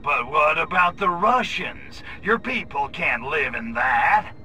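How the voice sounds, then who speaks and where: An actor voicing a cocky grey alien invader with a brash, wisecracking, crude manner in a video game cutscene. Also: male voice, on the high side, expressive, slow, pushed and bright, slight background noise, heavily acted. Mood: sarcastic. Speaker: protagonist.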